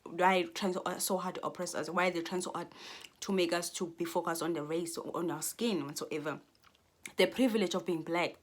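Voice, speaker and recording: Young South African woman, Xhosa native, casual vlog monologue, earnest, rambling, restarts, lowish voice. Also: steady tone, brisk. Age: 20s. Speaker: vlogger.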